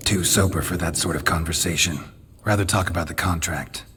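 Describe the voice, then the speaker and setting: An actor playing a terse male monster hunter in a game cutscene, speaking in a clipped, deadpan, businesslike way. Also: low voice, a little fast, husky, heavily acted. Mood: dry.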